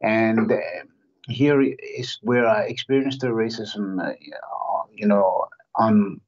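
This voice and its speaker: Male guest from Denmark, low voice, hesitant and thoughtful delivery, lo-fi audio. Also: fairly even intonation, slow pace, a little mellow. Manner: thoughtful, hesitant, many restarts. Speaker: guest, human rights campaigner. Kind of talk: interview answers.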